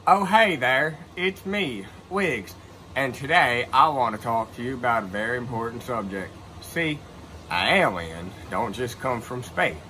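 A man's voice: medium pitch, expressive, a little slow, pushed and bright, slight background noise. A man playing an earnest oddball who tells of alien encounters in a mock-serious, theatrical comedy monologue. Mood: mock-solemn.

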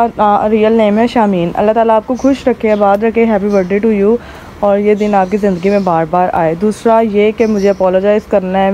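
A woman with a hoarse throat chats casually to camera as a vlogger, rambling with many fillers while filming on a rooftop. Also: mid-range voice, mellow, slight background noise. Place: rooftop outdoors.

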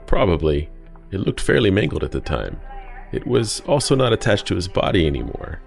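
A man playing a sinister, wry asylum inmate in an audio drama, calm and deadpan as he taunts. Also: low-pitched, fairly quick, gravelly, faint music behind, full character voice.

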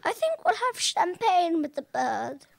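A woman's voice: extremely high pitch, huge pitch swings, a little slow, vocal fry, heavily acted. A young woman playing a genteel, imperious elderly lady hosting dinner in an amateur radio play. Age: young adult. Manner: formal, imperious.

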